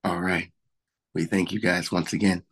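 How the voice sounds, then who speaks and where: A man with a hoarse, slightly low voice hosts a relaxed podcast chat. His talk rambles along, full of ums and uhs as he runs through announcements. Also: normal speed. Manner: rambling, filler-heavy announcements. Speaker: podcast host.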